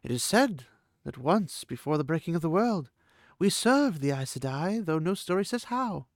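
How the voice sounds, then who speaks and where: A man's voice: on the high side, big pitch swings, normal speed, no echo, studio quality, pitched above the performer's own voice. A man voicing a proud, formal young female desert warrior with solemn, stiffly formal speech.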